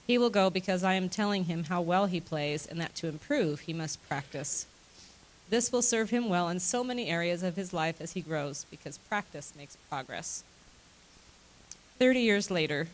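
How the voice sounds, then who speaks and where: A woman's voice: lowish voice, normal speed, a little hiss or hum, natural voice, poor audio. A woman playing a devoted, widowed grandmother in a reader's theater monologue, speaking in an earnest, sentimental, reflective way. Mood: fond, earnest, then proud, loving.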